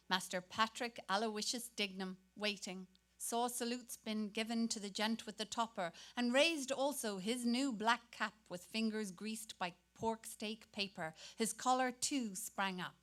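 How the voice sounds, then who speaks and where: Woman, medium-pitched voice, dramatic literary narration, staged reading. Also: a little slow, fairly bright tone. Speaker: actor reading at a literary event.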